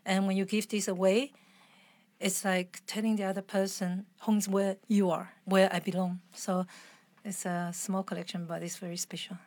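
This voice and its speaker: A woman with a mixed international accent talking as an interview guest, reflective, earnest and expressive in a conversational style. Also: mid-range voice, moderate pace, no room sound.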